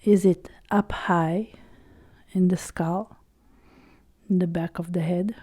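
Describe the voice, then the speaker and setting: Female meditation guide, low-pitched and very soft-toned, soothing delivery in short phrases, recorded close and dry. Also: fairly even intonation, husky, no echo. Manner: soothing, unhurried, with long pauses between short phrases. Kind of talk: slow guided body-scan meditation.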